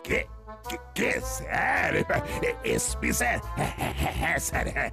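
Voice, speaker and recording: A blustering, cowardly cartoon police inspector, with heavy gravel in the voice and wildly swinging pitch. He puffs himself up pompously and stammers when rattled, over loud music. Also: wildly swooping pitch, normal speed, full character voice. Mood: flustered, servile. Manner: stammers and repeats words when flustered.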